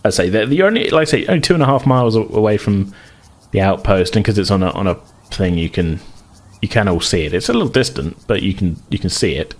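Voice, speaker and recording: A deep-voiced man acts as game master for a tabletop role-playing session. He builds his descriptions on the fly, often doubling back to rephrase them in a halting, conversational way. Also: animated.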